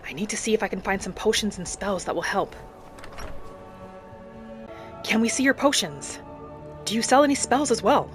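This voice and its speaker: Female gameplay storyteller, mid-pitched, earnest and measured, speaking in character over soft music. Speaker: gaming channel host. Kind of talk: in-character roleplay gameplay narration. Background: background music.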